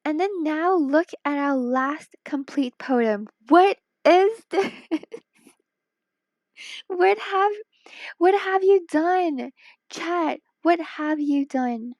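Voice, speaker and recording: A woman's voice: sits high, extremely slow, a little mellow, no room sound, highs cut off. A woman from Hong Kong chatting casually on a late-night stream, tired and worn-voiced, playful and teasing, sometimes dropping to a whisper. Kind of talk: casual live-stream chat while drawing.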